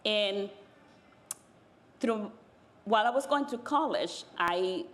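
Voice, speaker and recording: A woman from the Dominican Republic, a native Spanish speaker, telling her own story on a panel in a measured, pausing way. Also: medium pitch, expressive, a bit bright. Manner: measured, pausing, searching for words.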